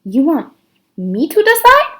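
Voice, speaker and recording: Woman as a puzzled teenage village girl, high-pitched. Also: wildly swooping pitch, a little slow, a bit bright, heavily acted. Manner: hesitant, questioning. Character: indecisive, simple fifteen-year-old village girl. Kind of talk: storybook read-aloud dialogue.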